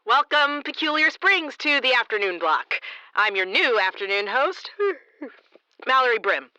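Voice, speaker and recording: A woman's voice: fairly high pitch, animated, a little slow, bright, forceful tone, exaggerated voice, poor audio. A woman playing a frazzled, conscientious small-town public radio host in a comedy audio drama, earnest and given to sighing. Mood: bright, on air. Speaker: radio host and station journalist.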